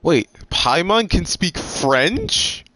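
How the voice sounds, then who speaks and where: A man's voice: sits high, wildly swooping pitch, very slow, pushed and bright, dry recording. A man giving casual, exclamatory gameplay reactions, groping for words as he goes. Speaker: gamer playing through a story quest.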